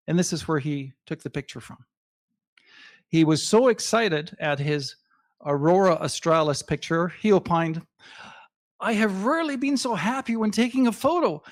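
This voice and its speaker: Higher-voiced man presenting astronomy slides. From Canada. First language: not English. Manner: measured, emphatic lecturing with pauses.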